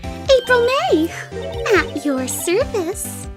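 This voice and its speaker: A woman's voice: very high voice, sing-song, very slow, heavily acted. A woman playing a giggly, flirty ditzy witness. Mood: coy. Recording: with background music.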